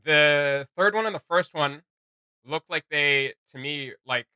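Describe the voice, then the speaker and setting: A man hosting a reaction video, chatting casually in an excitable, slangy way. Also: fairly high pitch, a little slow, clean tone, no echo. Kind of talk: casual chat, reaction commentary. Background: music.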